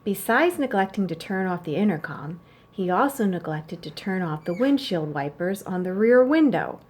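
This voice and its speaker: A woman's voice: on the low side, lively intonation, normal speed, a little mellow, husky. Stiff, exasperated chauffeur read by a woman. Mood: dry exasperation. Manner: stiff, deferential, dryly fussy. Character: formal, exasperated male chauffeur reporting to his madam.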